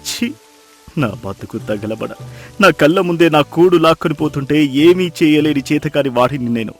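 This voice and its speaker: A man's voice: a little high, moderate pace, vocal fry. A man playing a poor, humble fisherman in a moral story, pleading and lamenting in despair. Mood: bitter despair. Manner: pleading, lamenting, self-blaming. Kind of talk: acted moral-story dialogue. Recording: music behind the voice.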